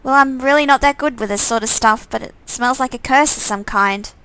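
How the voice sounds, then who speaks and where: A woman's voice: on the high side, brisk, fairly bright tone, clear, resonant tone. A woman voicing an easygoing young man in a visual novel, with a casual, offhand manner.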